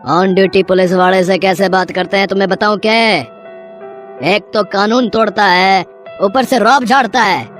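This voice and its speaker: A woman voicing a stern, indignant male traffic constable with a gruff, scolding manner in an audio story. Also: mid-range voice, steady tone, normal speed, soft background music, lightly acted.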